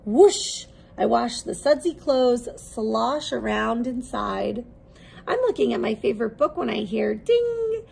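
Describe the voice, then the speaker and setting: Woman, preschool teacher, expressive read-aloud, unhurried pace, clean tone without creak, simple questions for children. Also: on the high side, a little hiss or hum. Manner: expressive, simple, deliberate phrasing for small children.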